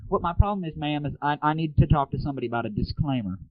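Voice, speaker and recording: A man's voice: on the high side, very fast, no room sound. A man hosting an internet comedy radio show, talking casually in crude slang. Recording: lo-fi, phone-like narrowband audio.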